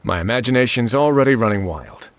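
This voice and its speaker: A man's voice: mid-range voice, lively intonation, fairly quick, slight background noise, lightly acted. A man playing a wry prison warden in a video game, with a casual, teasing way of talking. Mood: amused, teasing. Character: wry, teasing male prison warden, younger than judge. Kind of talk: scripted video game dialogue. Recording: music behind the voice.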